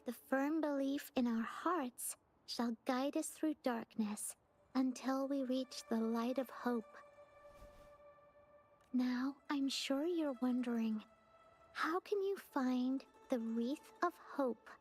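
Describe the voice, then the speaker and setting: A woman voicing a gentle young female companion in an anime game, reading riddles softly like a storybook. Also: high voice, slow. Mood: gentle, solemn. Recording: music under the voice.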